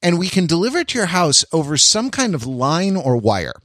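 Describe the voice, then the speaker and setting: A man co-hosting a podcast, chatting jokingly with halting, wry delivery as he spitballs. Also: on the high side, big pitch swings, a bit bright, dry recording, studio quality. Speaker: podcast host. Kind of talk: casual joking chat.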